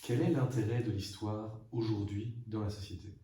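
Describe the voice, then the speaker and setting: A man appearing as a podcast guest, asking his host a question directly and quickly. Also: on the low side, dark tone. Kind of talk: podcast conversation. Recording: echoey room, distant mic, faint noise.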